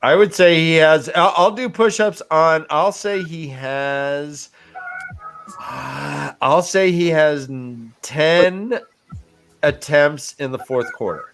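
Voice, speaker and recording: A man on a fantasy football panel, debating casually in a halting way and repeating himself. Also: fairly high pitch, very animated, a bit bright, faint music behind. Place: online livestream group call.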